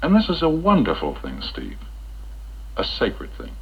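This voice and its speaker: Casting: kindly chaplain, husky and expressive, earnest counsel, dull old film sound. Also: male voice, mid-range voice, exaggerated voice. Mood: earnest, devout. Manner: measured, gently probing, earnest. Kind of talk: 1960s educational film acting.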